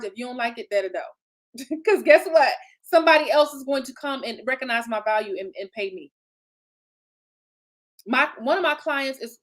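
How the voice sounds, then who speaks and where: A woman in her thirties, raised in Illinois, speaks with a Chicago accent touched by a little Southern twang, her voice sitting a bit on the high side. She coaches in a chatty, upbeat way, laughing often and checking in with her listeners with "right?" and "okay?". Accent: Chicago with a slight Southern twang. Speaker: business coach. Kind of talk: motivational solo talk.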